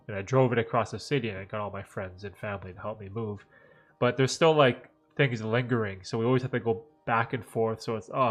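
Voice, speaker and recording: A man in his thirties hosting a live question-and-answer stream, talking in a relaxed, rambling way with lots of "like" and "right?", his voice a little nasal and stuffed up from allergies. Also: fairly low pitch. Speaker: livestream host answering viewer questions. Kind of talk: casual live Q&A chat.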